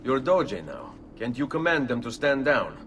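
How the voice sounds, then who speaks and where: An actor playing a composed young Florentine nobleman assassin in a video game, speaking with an Italian accent in a measured, polite, restrained way. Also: mid-range voice, sing-song, fairly bright tone, slight background noise. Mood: determined. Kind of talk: video game cutscene acting.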